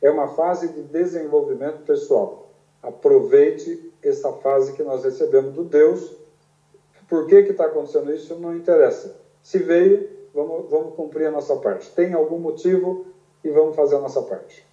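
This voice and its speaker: Brief: earnest male doctor from Brazil, very dark mellow voice, muffled echoey remote sound. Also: fairly high pitch, moderate pace. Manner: earnest and exhorting. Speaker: doctor answering questions. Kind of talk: advice in an interview.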